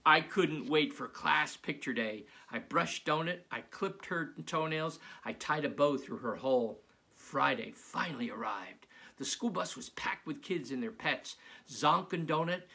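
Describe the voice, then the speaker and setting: A man reading aloud as a children's author, in an animated storybook style. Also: fairly high pitch, unhurried, creaky, slightly rough.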